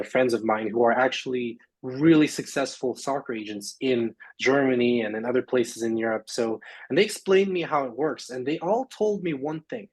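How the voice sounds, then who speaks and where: A man raised in Luxembourg, a sports agent, chatting casually as a podcast guest, rambling with many fillers and restarts. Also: mid-range voice. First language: English. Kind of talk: casual interview chat.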